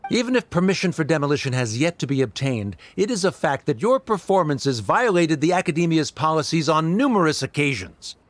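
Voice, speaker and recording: A man's voice: fairly high pitch, sing-song, fairly quick, hardly any pauses. A man voicing a haughty academic official who argues with cold, formal condescension. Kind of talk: game voice acting.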